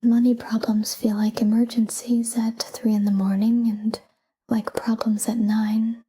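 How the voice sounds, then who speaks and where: A woman leads a sleep talk-down in a very dark, mellow voice. She speaks softly and breathily, close to a whisper, and keeps an even, calm delivery. Her short phrases are unhurried, with space left between them. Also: on the high side, breathy edge. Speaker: sleep meditation guide. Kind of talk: soft guided sleep meditation, whispered talk-down.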